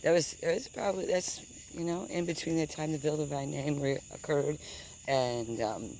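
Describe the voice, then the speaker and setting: A deep-voiced woman in her thirties telling a rambling, digressive story while walking outdoors, swearing casually and sometimes dropping to a whisper. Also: steady tone, moderate pace, darkish tone, slight background noise. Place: outdoors on grass at night with insects.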